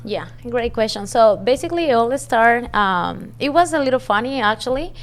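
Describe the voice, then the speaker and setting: Smooth-voiced woman. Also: fairly high pitch, expressive, normal speed. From Peru. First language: Spanish. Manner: hesitant with "um" fillers, non-native grammar. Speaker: entrepreneur guest. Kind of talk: casual interview answers.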